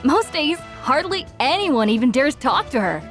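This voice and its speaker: A friendly young tavern keeper in a game, chatting away in a high, bouncy voice. She is warmly gossipy with her customers, over soft game music. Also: big pitch swings, normal speed. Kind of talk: video game voice acting.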